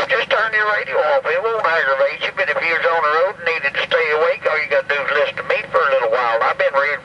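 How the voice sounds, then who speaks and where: A man, a trucker, chattering over a CB radio. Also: a little high. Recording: muffled, very noisy CB radio from far off.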